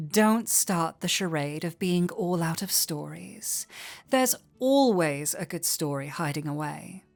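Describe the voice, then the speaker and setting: Slow, flirty fantasy innkeeper played by a woman. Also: on the low side, lively intonation, vocal fry, a little mellow, exaggerated voice. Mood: playful, insistent. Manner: coy, playful, unhurried teasing. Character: flirty, teasing, warm-hearted fantasy tavern innkeeper. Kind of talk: intimate roleplay audio drama.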